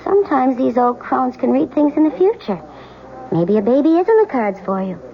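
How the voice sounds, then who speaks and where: An actress playing a chatty, flighty, well-meaning friend in an old-time radio drama, gushing and dithering. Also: female voice, high-pitched, very animated, moderate pace, a little hiss or hum. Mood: coaxing. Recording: dull old radio recording.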